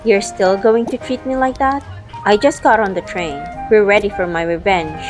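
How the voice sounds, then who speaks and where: A woman's voice: mid-range voice, normal speed. Woman narrating audio drama over music. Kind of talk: first-person audio drama narration with acted dialogue. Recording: background music under the voice.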